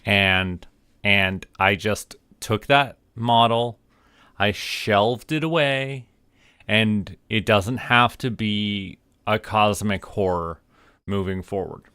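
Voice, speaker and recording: Slow, low-voiced man chatting. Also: bright, forceful tone, smooth, dry recording, clean studio sound. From Canada. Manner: rambling, halting, chatty asides to viewers. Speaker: streamer, VTuber host. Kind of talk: casual livestream chat.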